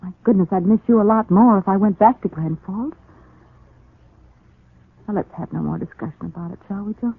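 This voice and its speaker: An actress playing a devoted, firm young wife in a 1940s radio soap opera, earnest, insistent and affectionate. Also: female voice, mid-range voice, brisk, gravelly, long pauses, slightly rough. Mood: firm, reassuring. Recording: muffled, echoey 1940s radio broadcast recording.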